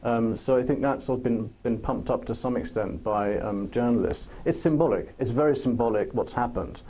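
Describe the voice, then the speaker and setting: A man speaking as a pundit in a political panel discussion, pausing to think and repeating phrases for emphasis. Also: mid-range voice, dark tone, slightly rough.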